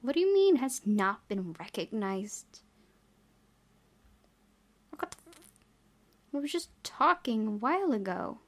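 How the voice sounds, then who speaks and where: A woman's voice: a little high, very animated, a little slow, long pauses. A woman reading a game's story aloud and adding casual commentary as she plays. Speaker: gaming video creator. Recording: loud background noise, game ambience.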